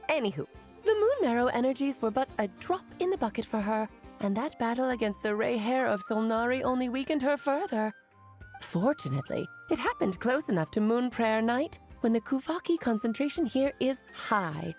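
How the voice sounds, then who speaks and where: An irreverent game know-it-all with a fairly high, very animated voice. She lectures with pedantic, flippant theatricality, sounding smug over soft music. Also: normal speed. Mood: lecturing. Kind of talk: scripted video game exposition.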